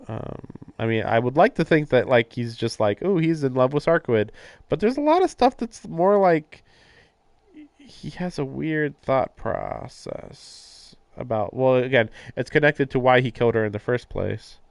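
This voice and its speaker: A man's voice: mid-range voice, animated, a little slow, creaky, no room sound. A man on a live game stream, reading narration aloud and breaking off for casual, puzzled commentary. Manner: puzzled, questioning asides. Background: game music.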